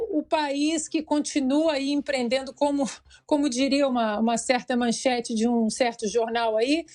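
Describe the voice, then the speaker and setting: Woman pundit, a little hoarse, high voice, emphatic and sarcastic. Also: a little mellow. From Brazil. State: slightly hoarse. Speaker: commentator. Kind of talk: political commentary on a news talk show.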